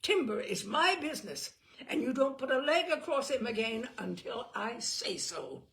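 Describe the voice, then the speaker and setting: A woman voicing a furious, drunken young man who sneers and shouts at his brother. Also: a little high, expressive, normal speed, vocal fry, slight background noise, pitched above the performer's own voice.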